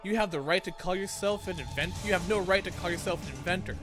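A man's voice: high-pitched, fast talker, over music, pitched above the performer's own voice, lightly acted. A man voicing an indignant, theatrical young male student in a visual novel, bombastic and accusing. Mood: outraged.

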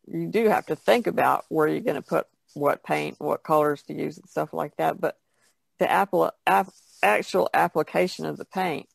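Woman, heavy gravelly fry, casual painter's chat, loose trailing phrases, dry sound. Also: low voice, no room sound. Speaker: painter, podcast guest.

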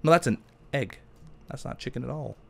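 A man's voice: medium pitch, brisk, vocal fry, a little mellow. A man giving casual gameplay commentary, thinking aloud as he chats and answers questions. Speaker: gaming YouTuber.

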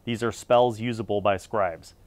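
A man's voice: lowish voice, fairly even intonation, normal speed, dry recording. A middle-aged man giving a casual, unscripted gaming review in a rambling, opinionated, conversational way. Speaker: host of a tabletop gaming review series.

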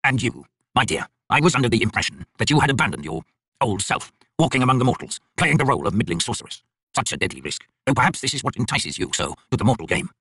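A man voicing an arrogant, bitter tyrant High King with a raspy, theatrical, scornful delivery in an audiobook. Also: very animated, fast, fairly bright tone, dry recording, full character voice. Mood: scornful, taunting.

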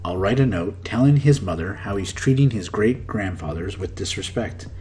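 A man's voice: on the low side, expressive, normal speed, darkish tone, faint music behind. A man in his forties teaching English with matter-of-fact definitions and scripted dialogue.

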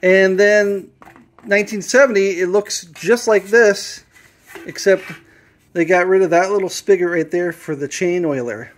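A man's voice: high voice, measured pace. A man explaining his motorcycle restoration step by step in a home garage workshop, matter-of-fact and full of fillers. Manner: plain, matter-of-fact, with frequent fillers and self-corrections.

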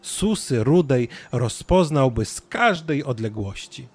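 A man narrating a scripted children's story as an expressive storyteller. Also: medium pitch, slow pace, husky, no room sound, faint music behind.

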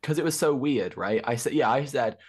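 A young woman with a hoarse voice, chatting as a guest on a video call in a wry, joking way. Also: rumbling low, a little fast, darkish tone. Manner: wry, laughs at her own jokes. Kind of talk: casual chat on a video call.

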